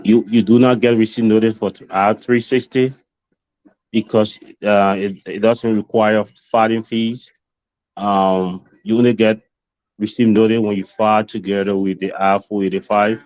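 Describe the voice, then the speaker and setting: A man with a fairly low voice speaks as a caller, asking politely. The audio is dull and very dry, with little room sound. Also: level tone, normal speed, darkish tone, no echo. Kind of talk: polite question over a call.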